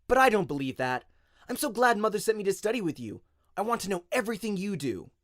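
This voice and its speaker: An eager young boy speaks high and very expressively, earnest and insistent. He is a naive apprentice in a radio-play tale. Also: very animated, fast, fairly bright tone, no room sound, studio quality. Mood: eager, proud.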